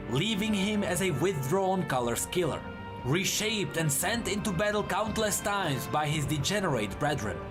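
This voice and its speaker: A man reading scripted fantasy lore as a narrator, in a measured, dramatic storytelling manner. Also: fairly high pitch.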